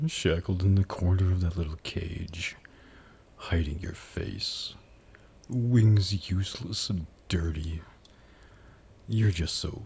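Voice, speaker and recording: ASMR demon buyer, acted by a man: very deep, gravelly, mocking and flirtatious, predatory, breathy close-mic delivery. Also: a little mellow, slight background noise, heavily acted. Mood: mocking, condescending. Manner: slow, taunting, condescending purr. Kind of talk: ASMR roleplay acting.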